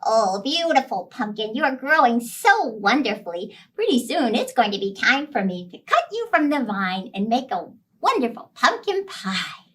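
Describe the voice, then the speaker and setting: A woman's voice: a little high, sing-song, moderate pace, fairly bright tone, husky, exaggerated voice. A woman voicing a cheerful storybook monkey who dotes on his pumpkin, in an adoring, singsong manner. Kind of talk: children's storybook character.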